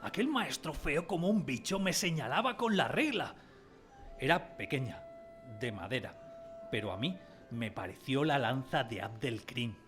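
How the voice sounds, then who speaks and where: A man playing a sensitive grown man who recalls his timid boyhood, in expressive, dramatised first-person storytelling. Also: mid-range voice, measured pace. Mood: anxious, tense. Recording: prominent music behind the voice.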